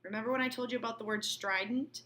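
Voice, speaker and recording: A woman's voice: on the high side, fairly even intonation, fast talker, bright, forceful tone. A woman teacher reading a novel aloud and breaking off for informal, colloquial explanations, often checking that listeners follow. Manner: colloquial, checks understanding with okay and right.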